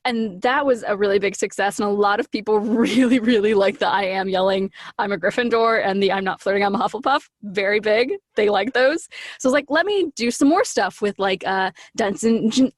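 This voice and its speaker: A woman chatting casually as an interview guest, animated and gushing, slipping into little acted-out asides. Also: mid-range voice, a little fast, no room sound, clean studio sound. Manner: animated, gushing, acts out her own quoted lines.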